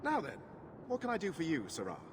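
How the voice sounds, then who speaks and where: An actor playing a slick, defensive merchant in a video game, with theatrical, faux-sympathetic delivery. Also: male voice, on the high side, wildly swooping pitch, quick pace. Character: harried, self-justifying male merchant, slick and defensive. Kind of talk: video game cutscene acting. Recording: clear outdoor ambience noise.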